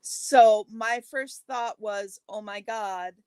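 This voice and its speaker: A woman's voice: medium pitch, slow pace, a little mellow, clear, resonant tone, no room sound. A woman in casual conversation who tells stories earnestly. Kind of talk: casual chat.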